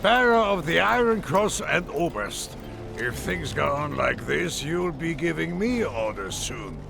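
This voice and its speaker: Very gravelly old war veteran. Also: male voice, a little high, sing-song, music in the background, full character voice. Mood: stern. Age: older. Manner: stern, reproachful, measured. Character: principled, weary old German war veteran.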